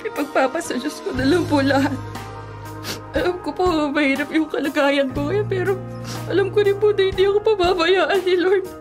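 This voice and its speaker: Jilted young bride, extremely high plaintive voice, heartbroken radio drama delivery over music. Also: female voice, moderate pace. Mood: resigned, hopeful. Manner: plaintive, sorrowful.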